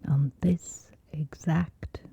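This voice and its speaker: A woman with a soft, whispery voice gently guides a scripted sleep meditation, soothing, with long pauses between short phrases. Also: sits low, steady tone, gravelly, breathy edge, no room sound, highs cut off.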